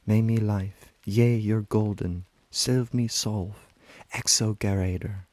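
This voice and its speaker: A man's voice: on the low side, steady tone, slow, a little mellow, no room sound. A man reciting a lyrical literary monologue as a weary, tender aging wife and river woman, in an intimate manner. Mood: fond.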